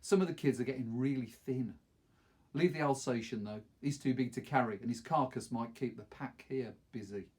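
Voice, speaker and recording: A man's voice: medium pitch, normal speed, a little mellow, lightly acted. A man voicing a practical, decisive teenage boy leader in a storybook reading. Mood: matter-of-fact.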